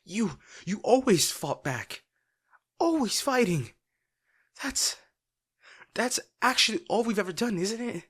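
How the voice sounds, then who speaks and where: Man acting a husky, volatile teenage bully boy. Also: high-pitched, fairly bright tone, full character voice. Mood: desperate, pleading. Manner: gruff, swearing, sighing, halting when upset. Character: brash teenage bully boy, abrasive but secretly caring. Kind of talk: dramatic audio roleplay addressed to the listener.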